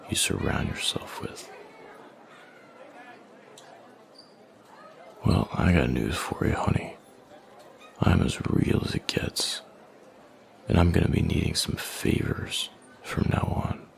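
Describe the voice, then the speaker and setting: Man playing a slow, gravelly, menacing young bully. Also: slight background noise, full character voice. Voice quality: low, gravelly, often half-whispered. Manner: slow, taunting, domineering. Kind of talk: boyfriend ASMR roleplay, close and breathy.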